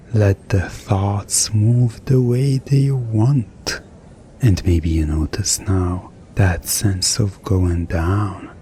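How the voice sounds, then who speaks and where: Very slow, mellow man guiding hypnosis. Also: lowish voice, a little hiss or hum. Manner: soothing, hushed, drifting in short phrases with pauses. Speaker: hypnotist in a collaborative audio roleplay. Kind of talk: hypnosis ASMR, slow guided descent.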